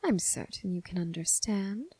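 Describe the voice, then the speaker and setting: A woman playing a commanding, teasing, coolly amused mob boss in an ASMR roleplay, husky and breathy, speaking with deliberate, condescending pauses. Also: expressive, mellow, no echo. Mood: cool, menacing. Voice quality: husky, breathy, low, creaky. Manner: slow, deliberate, purring condescension with drawn-out pauses. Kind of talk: ASMR roleplay, scripted character acting.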